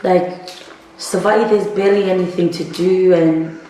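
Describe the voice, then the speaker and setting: A woman's voice: lowish voice, fairly even intonation, normal speed, warm tone, distant mic. Giggly woman eating on camera. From Australia. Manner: giggly, chatty, talks while eating. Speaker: vlogger. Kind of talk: casual chat while eating. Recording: echoey room, faint background hiss.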